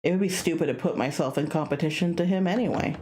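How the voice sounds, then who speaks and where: A woman's voice: lowish voice, quick pace, dark tone, close to an everyday voice. A woman playing a curious, polite young male student in a visual novel, speaking in a hesitant, conversational way.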